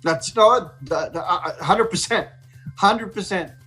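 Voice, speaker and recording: A Filipino-accented man hosts a casual online radio talk. His voice sits a little high, and he feels his way through his sentences, slipping between English and Tagalog. Faint music plays behind him. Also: measured pace, pushed and bright. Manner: hesitant phrasing, mixes English and Tagalog.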